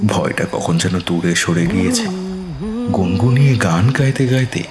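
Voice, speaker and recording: A man narrating a scripted ghost story in a slow, suspenseful manner. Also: deep voice, expressive, roomy sound, loud music behind the voice.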